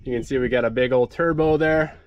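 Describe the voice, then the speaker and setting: A man with a stuffed-up, congested voice talks in a loose, rambling way, full of fillers, as he shows off farm machinery on a livestream. Clear background noise runs under his voice outdoors, and his pitch sits in a middle range. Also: brisk, muffled recording. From Montana. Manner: relaxed rambling with fillers, greets chat viewers. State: stuffed up from a cold. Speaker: farmer livestreaming. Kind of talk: casual live walkthrough.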